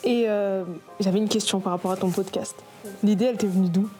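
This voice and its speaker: Mellow-voiced female interviewer. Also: mid-range voice, steady tone, brisk, faint music behind. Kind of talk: casual conversational interview.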